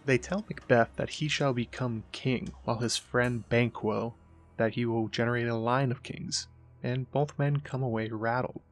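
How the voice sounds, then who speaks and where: A man with a medium-pitched voice narrates as the host of a literary explainer. His delivery is scripted and expository, laying out ideas point by point. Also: fairly even intonation, normal speed. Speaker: host of a literary video essay.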